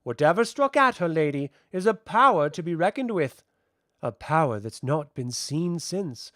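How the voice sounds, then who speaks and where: A man voicing an ancient elemental being in child form, calm and lofty, with formal archaic speech in a fantasy audiobook. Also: fairly high pitch, moderate pace, no room sound, clean studio sound. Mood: solemn.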